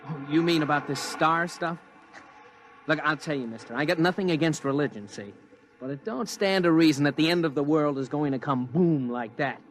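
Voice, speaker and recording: A man's voice: fairly high pitch, moderate pace, natural voice. Man playing a blunt, skeptical working guy. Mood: dismissive. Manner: colloquial, dismissive, blunt. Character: skeptical, folksy working man in the street, seasoned. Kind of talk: radio drama acting.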